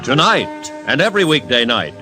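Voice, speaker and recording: A man's voice: medium pitch, sing-song, normal speed. A man announcing dramatically in the style of 1950s radio. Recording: vintage radio broadcast with music.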